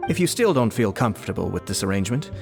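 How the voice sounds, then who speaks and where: A man's voice: lowish voice, very animated, quick pace, gravelly. An actor playing a composed, polite young nobleman diplomat speaking formally in game dialogue. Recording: reverberant with music behind.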